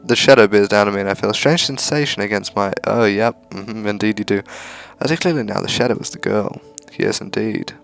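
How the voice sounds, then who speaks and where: A man's voice: fairly low pitch, brisk, gravelly, hardly any pauses, faint music behind. A man reading a visual novel aloud for a let's play, with casual asides.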